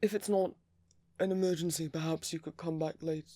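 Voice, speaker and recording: A man playing a weary, tender villain laid up in pain, speaking haltingly and softly in an intimate ASMR roleplay. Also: a little high, fairly even intonation, no echo, lightly acted. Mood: hesitant, pained. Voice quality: breathy, creaky. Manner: hesitant, halting, soft-spoken.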